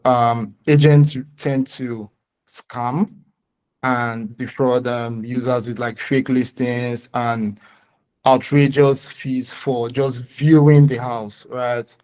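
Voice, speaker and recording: A man with a Nigerian accent giving a live startup pitch and answering questions in an explanatory, somewhat hesitant way. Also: a little mellow.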